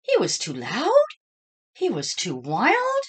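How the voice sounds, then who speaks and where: A woman narrates a picture book for young children. Her reading is slow and dramatic, with extremely animated pitch and a slightly husky tone. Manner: dramatic, addresses young listeners with questions. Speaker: storybook reader. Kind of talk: expressive children's read-aloud narration.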